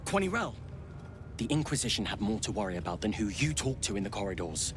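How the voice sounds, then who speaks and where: A man's voice: lowish voice, very animated, fast talker, background noise. A man voicing a dismissive, easygoing alien soldier with an offhand, reassuring way of talking in a fantasy game.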